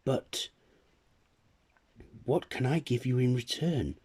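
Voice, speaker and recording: A man voicing the Tooth Fairy, a gentle female fairy who collects teeth, speaking softly and kindly. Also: mid-range voice, slow pace, husky, voice pushed up.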